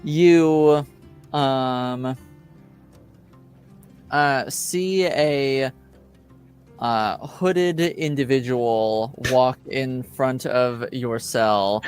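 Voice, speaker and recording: Game master, slow delivery, smooth clear voice, halting tabletop narration, faint music. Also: mid-range voice. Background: soft background music.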